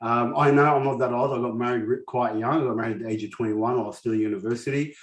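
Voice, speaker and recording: Man in his 40s, lisp, non-native English, mid pitch, earnest storytelling, echoey room. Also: steady tone, very fast. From grew up in a war-torn country, raised in Australia. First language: not English. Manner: earnest, personal storytelling with frequent restarts. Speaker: summit speaker. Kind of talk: motivational presentation.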